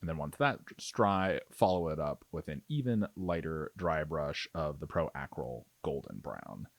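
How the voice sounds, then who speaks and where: A man's voice: extremely low pitch, expressive, measured pace, creaky, no room sound. A man narrating a hands-on tutorial in a casual, conversational way as the host. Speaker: miniature painting tutorial host.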